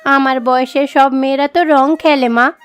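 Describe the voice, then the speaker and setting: A woman voicing a meek, devout young village daughter in an animated moral story, earnest and plaintive. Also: high voice, normal speed, lightly acted. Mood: hopeful, timid.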